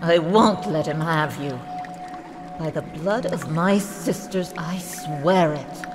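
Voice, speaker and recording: A woman's voice: low-pitched, slow, in a large hall. A woman playing a fierce, grieving, devoted warrior in an audio drama, speaking with intense resolve. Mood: anguished resolve. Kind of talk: fan audio drama acting. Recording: loud music over the voice.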